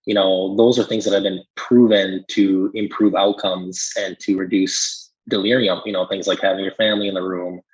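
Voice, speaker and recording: Low, husky man in casual discussion. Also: level tone, normal speed, few pauses, lo-fi sound. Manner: agreeable, explanatory, illustrates with quoted examples. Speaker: pharmacist and podcast co-host.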